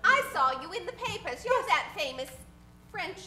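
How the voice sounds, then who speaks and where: A woman's voice: extremely high pitch, big pitch swings, normal speed, bright, forceful tone, full character voice. A woman playing an indignant, emphatic townswoman in a comic old-time radio play. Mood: insistent. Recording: echoey stage, faint music.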